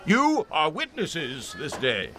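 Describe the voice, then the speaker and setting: A man's voice: a little high, wildly swooping pitch, slow, full character voice. A man voicing a kind, dignified wealthy kinsman in a dramatized audio Bible, speaking in a solemn, declaring way.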